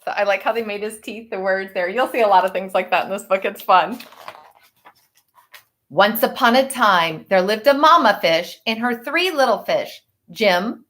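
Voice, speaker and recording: A woman reading a picture book aloud to children in an expressive way, with chatty, teacherly asides to her listeners. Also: mid-range voice, normal speed. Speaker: storybook reader for children.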